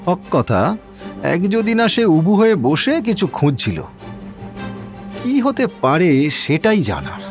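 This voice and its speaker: A man's voice: high-pitched, huge pitch swings, measured pace, pauses often, soft background music, heavily acted. A man acting a brooding, analytical senior police inspector in an audio drama, pondering and questioning. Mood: musing, deductive.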